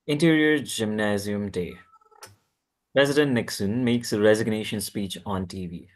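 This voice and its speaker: A man with a fairly low voice reads screenplay directions as narrator. It is scripted narration at a table read, delivered in plain present-tense description. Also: moderate pace.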